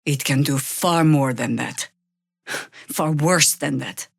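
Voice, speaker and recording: A battle-seasoned druid fighter for a fantasy video game. Her voice sits low and she takes her time, dry and commanding, with a wry, stern edge. The sound is close and dry. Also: slow, vocal fry, husky, dry recording, exaggerated voice. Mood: wry, measured. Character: seasoned half-elf druid woman, wry, stern veteran resistance fighter. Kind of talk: video game character dialogue, dramatic acting.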